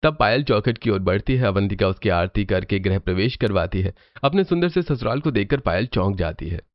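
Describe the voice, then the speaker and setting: A man's voice: lowish voice, expressive, brisk, dry recording, dull audio. A man narrating a scripted cartoon family story.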